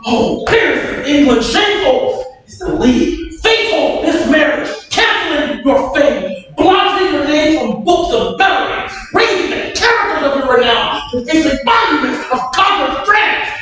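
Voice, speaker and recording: A man's voice: very high-pitched, expressive, measured pace, few pauses, a bit bright, a little hiss or hum, exaggerated voice. A man playing the Duke of Gloucester, the king's proud and grieved uncle, in a staged Shakespeare reading, declaiming with rising passion. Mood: indignant. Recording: echoey room, mic far off.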